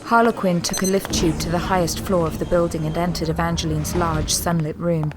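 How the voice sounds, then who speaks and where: A woman's voice: on the low side, steady tone, a little fast, background noise. A woman delivering scripted, dramatic story narration for an audio drama. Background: prominent music.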